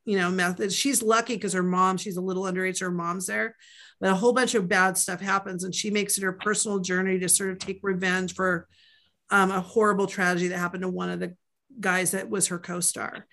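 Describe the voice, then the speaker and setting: Chatty bright-voiced woman author. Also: lowish voice, level tone, fairly quick. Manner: chatty, rambling, with many fillers and asides. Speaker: author guest. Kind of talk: casual podcast interview.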